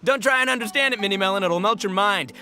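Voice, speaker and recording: A man's voice: sits high, fast talker, bright, forceful tone, soft background music, heavily acted. A man voicing a boisterous, boastful young oni gang boss and goofy rocker in a video game, bombastic and slangy. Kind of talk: animated video game voice acting.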